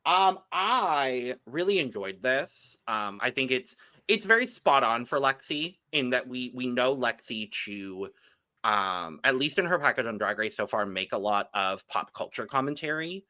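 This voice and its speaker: Bright-voiced man sharing his critique. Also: mid-range voice, animated, measured pace. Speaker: podcast co-host reviewing drag runway looks. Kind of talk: casual chat, opinionated review.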